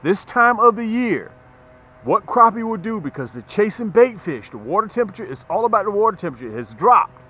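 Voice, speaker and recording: A man hosting a fishing channel, giving a casual, folksy sales pitch to camera and repeating phrases for emphasis. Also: very animated, fairly quick, sharp tone, breathy edge, faint music behind, rough recording. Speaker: fishing channel host and tackle seller.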